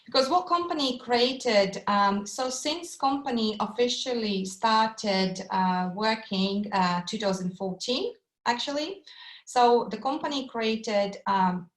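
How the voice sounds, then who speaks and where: Woman, Latvian accent, upbeat webinar pitch, lots of ums and uhs, echoey room. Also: slow. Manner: enthusiastic, with frequent um and uh fillers. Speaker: webinar presenter. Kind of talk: online sales presentation. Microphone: video call.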